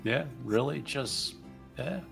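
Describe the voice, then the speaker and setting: A man's voice: mid-range voice, lively intonation, slow pace, gravelly. A man playing a tabletop roleplaying game, loosely improvising what his character does, repeating words and trailing off. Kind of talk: casual improvised narration of own character. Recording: music under voice. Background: background music.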